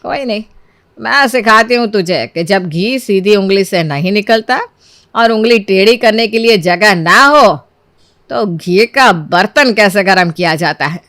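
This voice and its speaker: A woman's voice: medium pitch, expressive, a little slow, fairly bright tone, dry recording, studio quality. A woman voicing a sly, scolding elderly mother-in-law in a cartoon story, with sarcastic, theatrical needling. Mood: bossy scheming.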